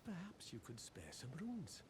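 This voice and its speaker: An actor playing a polite, humble Welsh sorcerer in a fantasy video game, speaking in a measured, apologetic way. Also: male voice, on the high side, huge pitch swings, a little mellow. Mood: polite, earnest. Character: polite, humble, down-on-his-luck male sorcerer offering lessons.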